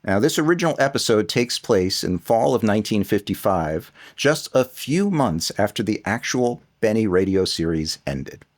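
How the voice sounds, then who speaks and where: A man's voice: fairly low pitch, sing-song, normal speed, hardly any pauses. A man presenting a scripted introduction to an audio production.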